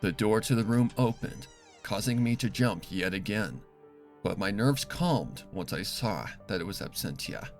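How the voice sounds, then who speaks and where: A man reading a dark first-person story as scripted dramatic narration, serious and deliberate. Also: lowish voice, expressive, faint music behind. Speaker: fan fiction reader.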